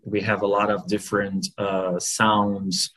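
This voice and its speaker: A man from Brazil, a native Portuguese speaker with a Brazilian accent, chatting casually and telling stories in an animated way, repeating phrases for emphasis. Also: lowish voice, a little slow. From Brazil, Rio. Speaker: podcast guest, language learner. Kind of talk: casual conversation, storytelling.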